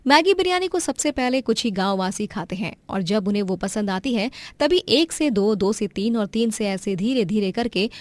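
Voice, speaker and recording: A woman narrating a cartoon moral story in a clear, expressive way. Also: mid-range voice, no room sound.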